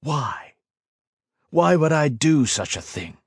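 An angry, defiant young hero, voiced by a man reading a fantasy story, snapping back in curt, tense lines. His pitch sits slightly above the narration. The recording is dry and close. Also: sing-song, slow pace, slightly rough, no echo, exaggerated voice.

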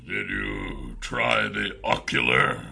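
Man voicing a throaty, slow cursed beast king. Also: low-pitched, big pitch swings, creaky, bright, forceful tone, breathy edge, faint music behind, heavily acted. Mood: pained, strained. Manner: halting, forced, ominous words. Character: cursed elven king turned great savage beast, grave, pained. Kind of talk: fantasy audiobook character.

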